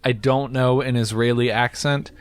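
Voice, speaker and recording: A man co-hosting a podcast, chatting casually and reading film reviews aloud with quick asides and laughs. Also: medium pitch, steady tone. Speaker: podcast co-host.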